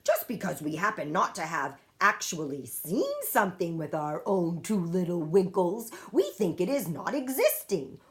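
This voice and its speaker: A woman voicing a very old, friendly male giant in a children's storybook, indignant and grand, with muddled grammar and emphatic drawn-out words. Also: on the low side, sing-song. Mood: lecturing.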